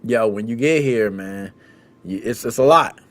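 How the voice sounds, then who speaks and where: A man from the United States hosting a podcast, chatting casually in slangy, animated speech and acting out little scenes. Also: medium pitch, a little slow. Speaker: podcast host.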